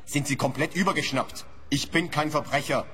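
A man's voice: fairly high pitch, normal speed, a bit bright, breathy edge. An actor in a radio play playing a defensive, irritated man who feels hounded, guarded and insistent. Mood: indignant. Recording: long reverberant space, faint noise.

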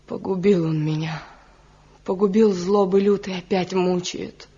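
An actress playing a proud, guilt-burdened village wife in a theatrical radio drama, solemn and lamenting. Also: female voice, on the low side, steady tone, a little slow, mellow, breathy edge. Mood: anguished. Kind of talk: theatrical Soviet radio play acting. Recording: muffled 1970 radio drama recording.

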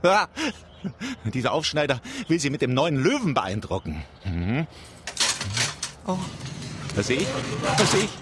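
An actor playing a pompous, boastful brewery owner and vengeful betrayed husband in a theatrical radio play. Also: male voice, medium pitch, sing-song, unhurried, pauses often, heavy background noise. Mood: agitated, triumphant. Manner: theatrical, self-important, gloating. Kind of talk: theatrical radio drama monologue.

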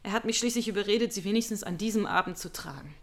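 An actress playing a sober female witness giving a measured account in a radio drama. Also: medium pitch, lightly acted. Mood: sober, recounting. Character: female witness soberly recounting her ordeal. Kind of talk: radio drama monologue, recounted testimony.

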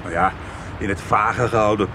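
A man's voice: on the low side, fairly even intonation, moderate pace, noisy background, close to an everyday voice. An actor playing a secretive, irritable man in a radio soap, curt and evasive, with hesitations. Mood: wary.

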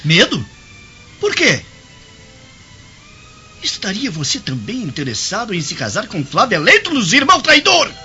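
Um ator de radionovela interpretando um nobre romano ciumento e esquentado, com um jeito acusador, teatral e sarcástico. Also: male voice, high-pitched, wildly swooping pitch, a little slow, pauses often, pushed and bright, heavily acted. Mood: jealous, accusing. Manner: accusing, theatrical, sarcastic. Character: jealous, hot-tempered Roman nobleman accusing his brother. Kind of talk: radio drama acting. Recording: dull lo-fi, music underneath.

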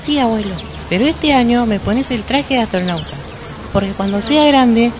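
A woman's voice: fairly high pitch, normal speed, background noise, natural voice. A woman voicing a curious, eager little boy with an Argentine accent in a radio drama. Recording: clear outdoor birds and insects. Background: birds, buzzing insects.

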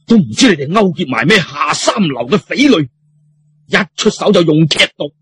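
Male storyteller as irate Cantonese-accented Taoist priest, breathless, husky, pitched high, blustering accusations. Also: lively intonation, normal speed, fairly bright tone, dry recording, full character voice. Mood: accusing.

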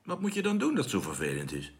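An actor playing a calm, practical, lightly teasing member of a spaceship crew in a radio drama. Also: male voice, mid-range voice, fast.

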